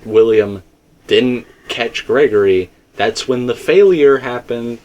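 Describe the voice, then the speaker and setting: A man's voice: mid-range voice, takes it slowly, a little mellow, slight background noise, low-quality recording. A man talking casually and speculatively as a host, emphatic and rambling, often repeating himself. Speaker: video game theorist and host.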